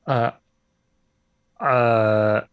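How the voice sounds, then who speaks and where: A man playing a tabletop game, talking casually in a deliberate, hesitant manner. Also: medium pitch, steady tone, clear, resonant tone, no echo.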